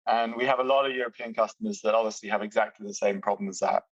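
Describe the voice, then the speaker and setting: A British man walks through software features in a fluent, upbeat, detailed way. His voice sits fairly low. The mic sounds distant and dull, and the room is dry. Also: fairly even intonation, rapid-fire, dry recording. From Britain. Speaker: software company executive. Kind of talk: explaining product features in a podcast chat.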